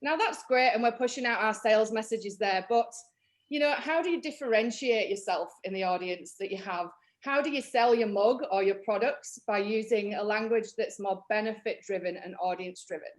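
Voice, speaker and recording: A woman's voice: mid-range voice, moderate pace. Yorkshire woman from Leeds, marketing trainer, casual webinar delivery, mid-range voice, lots of fillers. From Leeds, England. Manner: chatty, practical, frequent ums and you-knows. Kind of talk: webinar workshop talk.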